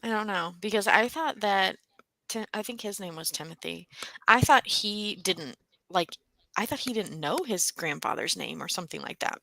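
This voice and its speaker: Bright-voiced woman chatting about a book. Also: medium pitch, expressive, normal speed, gravelly. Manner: chatty, thinks aloud, frequent fillers and restarts. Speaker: co-host of a book club livestream. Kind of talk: casual chat, reading out viewer comments.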